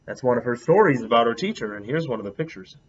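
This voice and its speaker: A man reading a picture book aloud as a teacher, a lively storyteller who stops to comment on the pictures. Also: medium pitch, quick pace, dull audio, a little hiss or hum.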